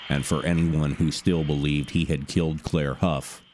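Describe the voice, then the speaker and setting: Very deep-voiced male true-crime narrator. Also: steady tone, darkish tone, slight background noise. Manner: measured, dramatic storytelling. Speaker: narrator, voice actor. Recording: music bed behind narration. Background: music.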